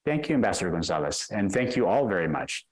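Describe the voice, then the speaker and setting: A man's voice: fairly low pitch, sing-song, quick pace. Polite man moderating a panel. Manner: polite, reads prepared questions. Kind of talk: formal moderating.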